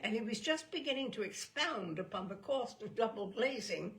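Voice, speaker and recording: A woman reading a literary story aloud as an expressive storyteller. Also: a little high, moderate pace.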